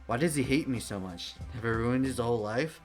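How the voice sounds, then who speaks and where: A man's voice: mid-range voice, a little fast, faint music behind, close to an everyday voice. A man voicing a young male soldier hero who asks puzzled questions. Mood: questioning.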